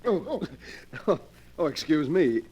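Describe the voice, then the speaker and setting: A man's voice: on the high side, sing-song, slow pace, lo-fi sound, heavy background noise. An actor playing a nervous, polite young man, stammering and flustered, in 1940s radio drama style. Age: young adult.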